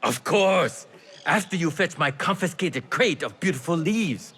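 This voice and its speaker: Animated old tea merchant. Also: male voice, fairly high pitch, a little slow. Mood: wheedling, cheerful. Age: older. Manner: theatrical, exclaiming. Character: lively old tea merchant, wily, affectionate, theatrical. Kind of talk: video game character acting.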